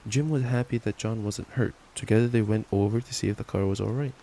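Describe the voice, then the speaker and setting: A man's voice: lowish voice, fast talker, warm tone. Affectionate young man over noisy rain ambience. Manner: affectionate, playful, stumbling reader given to giggly asides. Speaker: ASMR roleplay performer playing a boyfriend. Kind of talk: ASMR boyfriend roleplay, reading a bedtime story.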